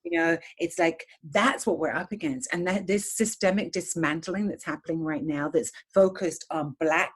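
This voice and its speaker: A woman's voice: on the low side, normal speed, hardly any pauses, slightly rough. A woman from England, a podcast guest talking with fervent conviction and often repeating words as she finds them. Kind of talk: impassioned conversational podcast talk.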